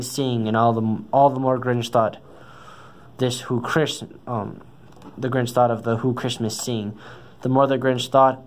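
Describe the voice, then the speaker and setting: A man's voice: mid-range voice, a little mellow. A man reading a children's story aloud, stumbling now and then over the words. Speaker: storybook reader. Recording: noisy amateur recording.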